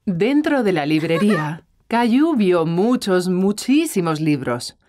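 A woman voicing the gentle storyteller of a children's cartoon, narrating with a Latin American Spanish accent. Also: fairly low pitch, very animated, slow pace. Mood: gentle, storytelling. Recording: music behind the voice.

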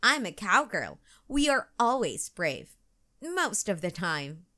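A woman's voice: medium pitch, very animated, slow, pushed and bright, full character voice. A woman voicing an eager, plucky little girl in a children's storybook, exclamatory and enthusiastic. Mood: excited.

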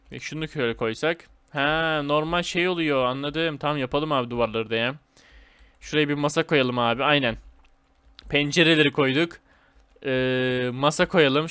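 Smooth-voiced man doing casual gameplay commentary. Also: fairly high pitch, a bit bright, no echo. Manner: slangy, repetitive, addresses viewers as friends. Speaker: gaming video host.

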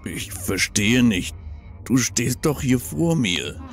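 A man's voice: mid-range voice, sing-song, takes it slowly, soft background music. An actor playing a big, loyal male troll guard in a fantasy audio drama, with a weighty delivery. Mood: confused, alarmed. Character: big deep-voiced male troll guard, loyal husband, plain-spoken.

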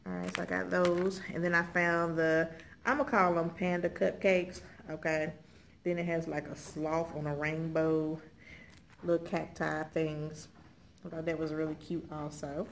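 Low-pitched American woman, casual chat. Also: level tone, unhurried. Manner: folksy, conversational, frequent check-in tags like okay and all right. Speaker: haul video host. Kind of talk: casual chat showing purchases to viewers. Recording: clearly noisy room recording.

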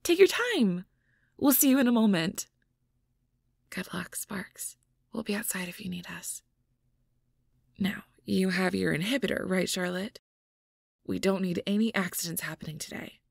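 A woman's voice: medium pitch, animated, moderate pace, creaky, pauses often, no room sound, studio quality. A woman voicing a caring, anxious, responsible young woman who speaks carefully and breathily in an audio roleplay. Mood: concerned.

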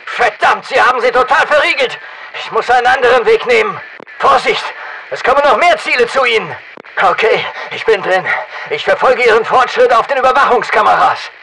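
Battle-hardened special forces operator for a shooter game: high-pitched, fry-edged, clipped radio commands. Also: male voice, fairly quick, background noise. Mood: focused. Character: masked male special forces soldier, terse and battle-hardened. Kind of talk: military radio callouts in a shooter game dub.

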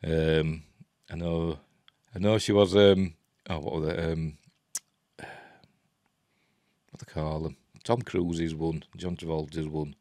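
A man's voice: rumbling low, flat delivery, slow pace, pauses often, a little mellow, no room sound, clean studio sound. A man in his sixties with a Yorkshire accent, giving casual news commentary in a hesitant, rambling way. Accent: Yorkshire English. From Yorkshire, England. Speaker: host of a film and TV news vlog. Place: small cluttered room at home, indoors.

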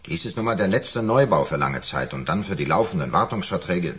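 Ein Schauspieler spielt im Hörspiel den nüchternen, schroffen Chef einer angeschlagenen kleinen Firma. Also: male voice, low voice, expressive, fairly quick, a bit bright. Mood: dismissive. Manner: matter-of-fact, evasive, brusque. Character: unsentimental boss of a struggling small firm. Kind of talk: radio drama acting.

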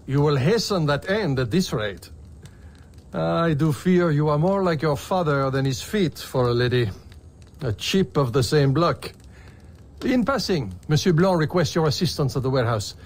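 A man's voice: a little high, lively intonation, normal speed, a little mellow. An actor playing a fussy, affectionate old merchant father in a video game, courtly and wordy. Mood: fond fretting. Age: older. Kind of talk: video game cutscene acting. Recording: music behind the voice.

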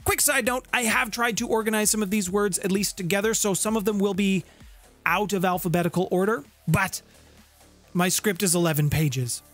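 A man in middle age presents a scripted explainer with theatrical emphasis. He pauses for effect between phrases and speaks close to a studio microphone. Music plays behind his voice. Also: high voice, a bit bright. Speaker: host of a tabletop gaming channel. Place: small home room with guitars on the walls. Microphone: close studio condenser mic with pop filter. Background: background music.

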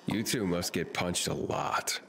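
An actor playing a wry, impatient young adventurer in a video game, blunt and sardonic. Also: male voice, low-pitched, a little slow, vocal fry, fairly bright tone, a little hiss or hum, full character voice. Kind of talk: video game dialogue acting.

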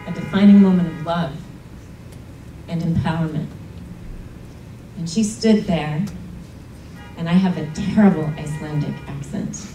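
A woman speaks to a conference audience in an earnest, storytelling way, pressing hard on key points. Her voice sits a little low. The sound is roomy and distant, with a faint hum of the hall behind her. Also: mellow. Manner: earnest, storytelling, emphatic at times. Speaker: panel speaker. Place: conference room.

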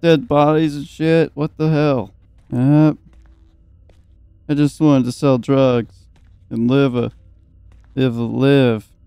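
A man's voice: mid-range voice, flat delivery, slow, pauses often, warm tone, smooth, dry recording. A young man giving casual let's play commentary, joking and swearing and at times reading game text aloud. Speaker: gaming video host. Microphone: close, dry microphone.

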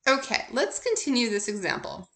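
A woman's voice: fairly high pitch, very animated, moderate pace, pushed and bright. A woman instructor giving an informal recorded lecture, working through examples in a conversational, explanatory way and answering her own questions. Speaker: accounting instructor.

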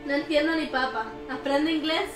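High-voiced young woman in a roomy space. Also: steady tone, brisk. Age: young adult. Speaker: co-host reading viewer comments aloud. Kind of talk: casual bilingual livestream chat. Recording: roomy echo, music behind. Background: background music.

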